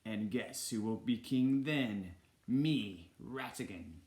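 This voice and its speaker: A man voicing a pompous, scheming storybook villain who gives commands in a menacing, drawn-out way. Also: lowish voice, darkish tone, reverberant. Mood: gloating. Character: scheming, pompous male villain plotting to be king.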